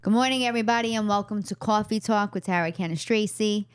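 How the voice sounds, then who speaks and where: A woman from the Bronx with an Italian-American New York accent, hosting a casual comedy chat with teasing, quick banter in her home den. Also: mid-range voice, clear, resonant tone, no room sound, studio quality. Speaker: host.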